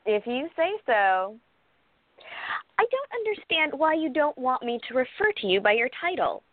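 Wary, proper bodyguard woman played by an actress, swooping pitch. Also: big pitch swings, normal speed, dry recording. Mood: worried. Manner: skeptical, dryly deferential. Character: wary, proper female major, princess's protective bodyguard. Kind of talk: radio play acting. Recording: thin, dry phone-like sound.

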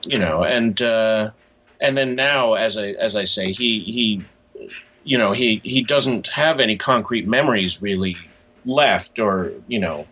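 A man with a fairly low, husky voice gives reflective interview answers. He is halting and often restarts his phrases. The sound is somewhat dull and lo-fi. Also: sharp tone. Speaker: interview guest, author.

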